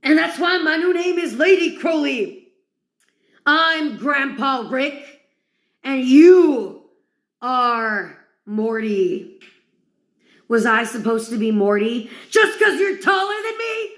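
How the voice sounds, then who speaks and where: Woman, slow delivery, slightly high pitch, mocking, crude, theatrical monologue, roomy echo. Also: lively intonation, fairly bright tone. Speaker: performer of villain monologues. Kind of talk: dramatic mocking monologue to one listener.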